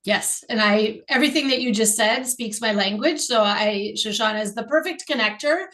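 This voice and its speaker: A woman's voice: on the high side, normal speed, a bit bright. A woman from Canada hosting a casual interview over a video call, enthusiastic and curious. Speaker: host.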